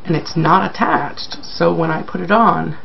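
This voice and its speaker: Male vlogger, sick and very creaky, self-deprecating casual chat, slightly dull home recording with background noise. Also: on the high side, unhurried. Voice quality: croaky. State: sick, croaky. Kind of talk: casual video update to viewers.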